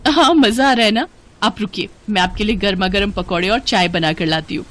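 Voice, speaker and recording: A woman's voice: medium pitch, a little fast, close to an everyday voice. A woman voicing a naive, dreamy and dramatic young daughter-in-law in a cartoon. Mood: delighted, carefree.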